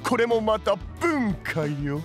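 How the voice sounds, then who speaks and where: Give this one to a theatrical, boastful lord. His highish voice takes its time and swings widely, with proud, archaic phrasing, as in an anime-style audio drama. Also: big pitch swings, slow, soft background music, exaggerated voice. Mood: amused, boastful. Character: flamboyant, proud old-fashioned tea-master lord. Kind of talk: Japanese voice drama acting.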